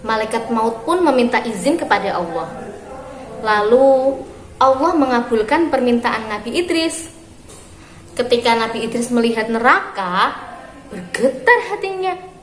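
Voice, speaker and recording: A woman reading a story aloud for young children, phrasing expressively and deliberately with pauses. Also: a little high, animated. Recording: roomy echo with clear background noise.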